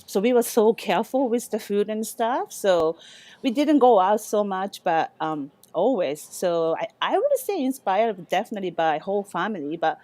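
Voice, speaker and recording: A soft-spoken middle-aged woman talks in a relaxed, halting way, often restarting her sentences. Her voice sits at an ordinary pitch and sounds close and dry, with little room around it. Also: no room sound. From Japan. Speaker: chef, guest. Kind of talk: casual interview chat.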